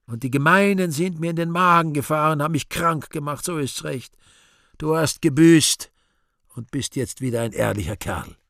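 An actor playing the Devil in a radio play, weary, sly and scornful, declaiming slowly and theatrically. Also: male voice, fairly high pitch, creaky, fairly bright tone, no room sound, studio quality. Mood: gloating. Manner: slow, deliberate, theatrical declamation with drawn-out words.

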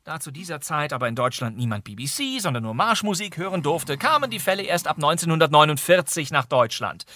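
A man's voice: a little high, very animated, fairly quick, hardly any pauses, bright, forceful tone, no room sound. A man presenting a scripted explanation in a theatrical, emphatic, mock-solemn manner. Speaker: presenter introducing a radio play.

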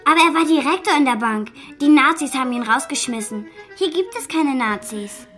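A woman's voice: sits high, expressive, moderate pace, fairly bright tone, faint music behind. An actress playing a nine-year-old refugee girl in a German radio drama, youthful and earnest, sad and pleading. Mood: insistent.

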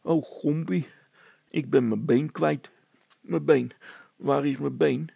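A man's voice: a little high, fairly even intonation, slow pace, vocal fry, mellow, husky, no room sound, exaggerated voice. A man voicing a dim, panicky village constable in a storybook, stubborn and plaintive. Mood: bewildered panic.

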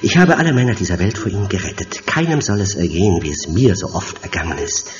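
Sadistic hunchbacked scientist for horror audio: low, silkily polite, theatrical, swooping in pitch, in an echoing hall. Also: male voice, quick pace, soft background music. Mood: gloating, taunting. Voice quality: calm, deep. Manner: mock-courteous, taunting, theatrical. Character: hunchbacked vengeful mad scientist, sadistically gloating. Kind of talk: horror audio drama acting. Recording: dull sound in a long echoing hall.

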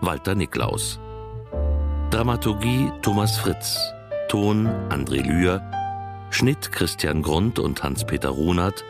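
Casting: male radio drama announcer, low-pitched and measured, reading credits with clear music underneath. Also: lively intonation.

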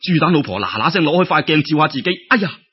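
Husky, animated Cantonese male storyteller. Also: fairly high pitch, quick pace, highs cut off. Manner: theatrical, exclamatory, colloquial. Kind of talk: dramatic traditional folk-tale narration.

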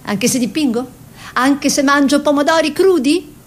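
An actress playing a young peasant woman painter, dreamy and stubborn, in an expressive theatrical delivery. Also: sits high, normal speed, close to an everyday voice. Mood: tender, insistent. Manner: expressive, musing, emphatic repetitions. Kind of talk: theatrical monologue and dialogue.

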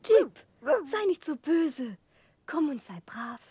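A woman's voice: high-pitched, sing-song, slow, mellow, no room sound, exaggerated voice. An actress playing a sweet, pampered young lady with a coy, childlike manner in a 1960s German radio drama. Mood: playful scolding.

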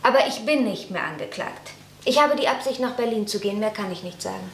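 An actress playing a quiet, determined young woman in a radio play, speaking in a subdued, earnest way. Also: mid-range voice, a little fast, natural voice. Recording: echoey room with faint hiss.

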